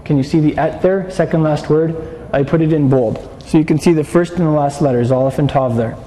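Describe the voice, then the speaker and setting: A man with a slightly raised yet mellow voice teaches a class, explaining patiently and putting questions to his listeners. His voice carries the long echo of a hall, over a faint hum of room noise. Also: fairly high pitch, fairly quick. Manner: explanatory, asks the class questions, frequent restarts. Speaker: Bible teacher.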